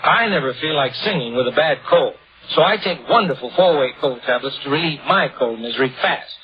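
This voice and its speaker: A man's voice: mid-range voice, animated, brisk, a little hiss or hum. A man giving a scripted testimonial in a 1950s radio commercial. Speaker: testimonial speaker in radio advert. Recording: muffled old radio recording.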